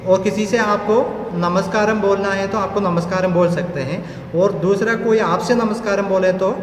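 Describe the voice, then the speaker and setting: A man's voice: high-pitched, normal speed, heavy reverb, long reverb tail, a little hiss or hum. A man with a Malayali accent, a Malayalam speaker, teaching a language lesson patiently and often checking in with his students. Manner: patient, repeats with tag questions.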